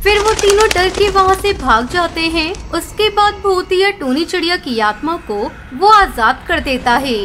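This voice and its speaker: A woman narrator reads a scripted children's tale in an extremely high voice. There is clear noise and ambience behind the voice. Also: animated, normal speed, few pauses, pushed and bright.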